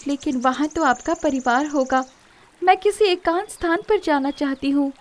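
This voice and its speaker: A woman voicing a polite, earnest young fairy in a children's story, with formal, dramatic and expressive speech. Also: high voice, moderate pace, natural voice.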